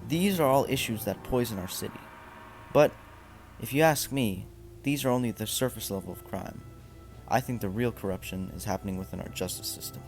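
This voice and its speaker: A man's voice: on the low side, lightly acted. A man playing a grim masked vigilante and a smug crooked lawyer in an amateur superhero radio play. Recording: music behind the voice.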